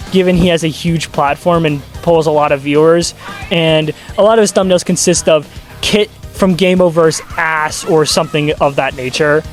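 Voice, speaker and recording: A commentator giving casual, scripted video commentary with dry, sardonic asides. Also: medium pitch. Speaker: host of a video commentary channel.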